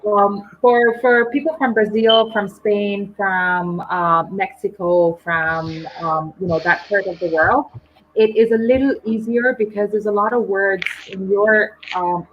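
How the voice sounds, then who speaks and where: A woman hosting a live online English session, explaining patiently with frequent pauses and ums. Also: medium pitch, steady tone, muffled recording, slight background noise.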